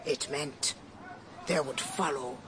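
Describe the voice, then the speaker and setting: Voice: medium pitch, takes it slowly, sharp tone. An actor in a radio drama playing a ranting old soothsayer who sells almanacs, with a portentous, declamatory manner. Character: ranting doom-mongering old street soothsayer and almanac seller.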